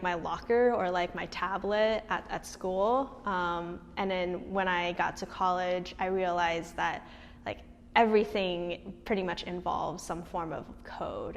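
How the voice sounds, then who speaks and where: A young woman from Hawaii speaking in a documentary interview, talking conversationally with many fillers and restarts. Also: lowish voice. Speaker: tech mentor and interviewee. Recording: long reverb.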